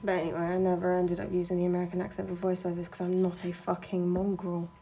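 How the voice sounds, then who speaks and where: Gravelly, flat-voiced woman ranting. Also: fairly low pitch, quick pace, a little hiss or hum. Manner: blunt, sweary, ranting. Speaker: streamer and artist. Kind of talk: casual chat while drawing on stream.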